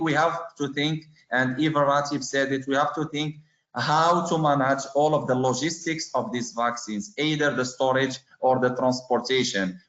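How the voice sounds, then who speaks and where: A man from Morocco joins a panel discussion over a video call, speaking in a somewhat high voice. He hesitates a lot, fills the gaps with "uh" and restarts his phrases. The sound is roomy and muffled, like a webcam mic in a bare room. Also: a bit bright. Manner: halting, many fillers and restarts. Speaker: supply chain panelist.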